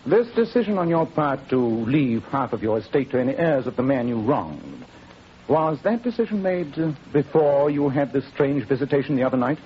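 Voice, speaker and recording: A man's voice: medium pitch, very animated, moderate pace, lightly acted. A man with an English accent playing a famous detective in a 1940s radio drama, formal, courteous and incisive in his questions. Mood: probing. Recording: muffled old radio recording with hiss.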